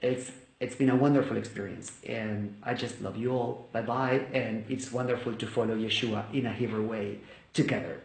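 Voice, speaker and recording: A man whose first language is Spanish, talking casually to the camera about his Bible study videos, halting, with many fillers and restarts. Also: fairly low pitch, steady tone, gravelly. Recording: echoey room.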